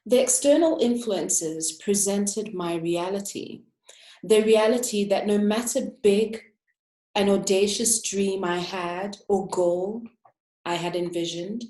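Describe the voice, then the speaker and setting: A woman, an interior architect, giving a prepared, reflective talk and slowing down to stress key words. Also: medium pitch, warm tone, reverberant, rough recording. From Africa.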